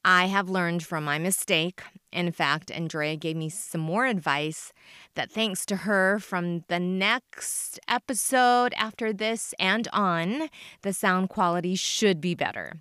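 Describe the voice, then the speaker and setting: A woman's voice: on the low side, takes it slowly, sharp tone, smooth, no echo, studio quality. A woman hosting a podcast, reading an upbeat scripted introduction to her guest. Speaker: podcast host.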